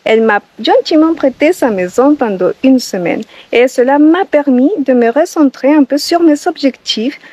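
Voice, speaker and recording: A woman's voice: high-pitched, expressive, moderate pace, few pauses, warm tone, no room sound. A Colombian woman with a Spanish accent in French, giving a casual bilingual Spanish lesson outdoors and repeating each phrase in both languages. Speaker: Spanish teacher and channel host. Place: outdoors, by the water, barefoot.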